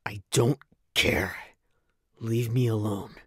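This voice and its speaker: A man's voice: lowish voice, steady tone, takes it slowly, fairly bright tone, slightly rough, no room sound, studio quality, exaggerated voice. A man voicing a hot-headed, protective young hedgehog hero in a cartoon comic dub, dramatic and earnest. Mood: hurt, earnest. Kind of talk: fan comic dub acting.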